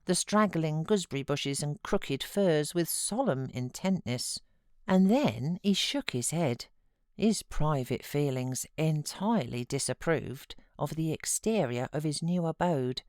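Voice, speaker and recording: A woman with a British accent giving measured, expressive literary narration as an audiobook reader. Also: low-pitched, dry recording, clean studio sound.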